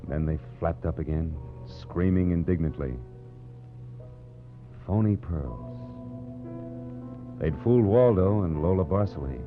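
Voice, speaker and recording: Low-voiced hard-boiled American private eye. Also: male voice. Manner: terse, world-weary, dramatic delivery. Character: cynical hard-boiled male private detective narrating his case. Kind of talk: 1940s radio noir narration. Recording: muffled, phone-like 1940s radio recording with music. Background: dramatic music.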